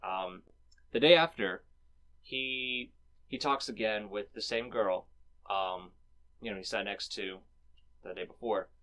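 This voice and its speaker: A man co-hosting a movie podcast, recapping scenes in a laid-back casual way, filling pauses with "you know" and "um". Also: lowish voice, sharp tone. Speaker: podcast co-host.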